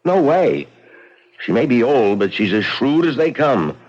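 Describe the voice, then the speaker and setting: A brash, sarcastic young man with a lively, bouncing delivery. The sound is dull and muffled, like an old radio play recording. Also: mid-range voice, a little slow. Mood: scornful. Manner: flippant, sarcastic. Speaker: wife's brother. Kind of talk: vintage radio drama acting.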